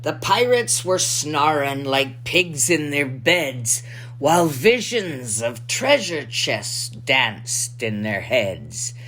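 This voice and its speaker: A woman voicing a salty old pirate lookout, spinning a rhyming Christmas yarn in a theatrical, drawn-out manner. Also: rumbling low, sing-song, a bit bright, slight background noise, heavily acted. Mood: hushed storytelling.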